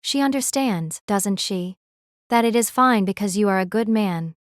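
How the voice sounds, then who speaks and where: A woman playing a gentle, tender young mother. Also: mid-range voice, animated, moderate pace, dry recording, clean studio sound, close to an everyday voice. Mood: tender, content. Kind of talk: audio drama character acting.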